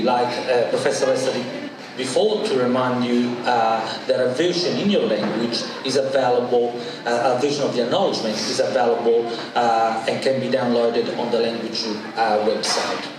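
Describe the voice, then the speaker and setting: A man from Italy with an Italian accent, giving a formal welcome speech as the new chief executive, measured and hesitant. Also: medium pitch. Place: large function room at an evening event. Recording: echoey hall from far off, noisy.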